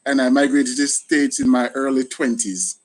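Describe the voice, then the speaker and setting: A man's voice: mid-range voice, level tone, moderate pace. A middle-aged man with a Jamaican accent hosting a casual live chat, thanking people often. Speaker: gardening channel host, retired soldier.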